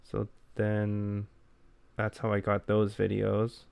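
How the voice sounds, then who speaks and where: A young man co-hosting a casual podcast chat, talking in a low-key, plain and self-deprecating way. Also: monotone, slow pace, gravelly, a little mellow, clean tone, dry recording. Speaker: podcast co-host.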